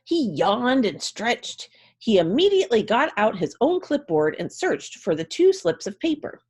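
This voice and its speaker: A woman's voice: a little high, a little slow, breathy edge. A woman giving a dramatic, theatrical storybook reading as narrator. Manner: theatrical, expressive.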